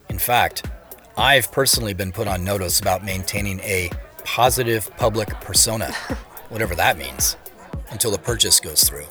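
An actor playing a busy, self-deprecating young tech CEO in a modern audio drama, talking in a casual, wry way. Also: male voice, lowish voice, sharp tone.

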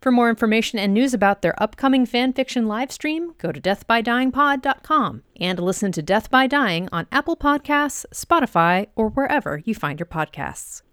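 A woman's voice: on the high side, lively intonation, moderate pace, hardly any pauses, a bit bright, clean tone, no room sound, studio quality. Woman host reading show announcements. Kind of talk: program announcements.

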